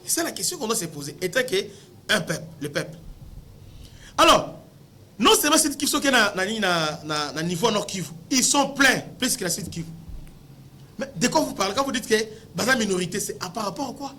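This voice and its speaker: High, bright-voiced Congolese man, emphatic. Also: very animated, brisk, a little hiss or hum. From Democratic Republic of the Congo. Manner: emphatic, asking rhetorical questions. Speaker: political commentator. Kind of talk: impassioned spoken political commentary to viewers.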